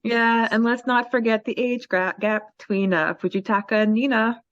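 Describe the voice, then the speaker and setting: A woman's voice: on the high side, steady tone, normal speed, fairly bright tone, dry recording, lo-fi sound. A woman co-hosting a casual podcast who rambles and searches for words as she chats. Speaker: podcast co-host. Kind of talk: casual chat.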